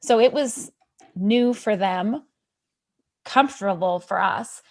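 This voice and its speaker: A woman tells her story as a podcast guest, chatty and lively. Her voice sits in a middle pitch for a woman. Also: slow pace. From Canada. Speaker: guest, author and educator. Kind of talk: podcast interview.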